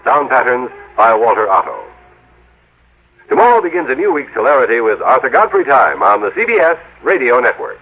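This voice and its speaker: A man's voice: mid-range voice, very animated, fairly quick, breathy edge. Dramatically swooping vintage radio announcer man. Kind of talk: vintage radio ad read and credits. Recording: noisy, tinny old radio broadcast.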